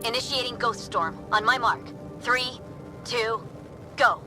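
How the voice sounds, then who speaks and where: An actress playing a composed, focused military pilot in a sci-fi game, giving clipped, procedural radio-style reports. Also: female voice, on the high side, takes it slowly, over music, poor audio. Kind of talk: scripted video game acting.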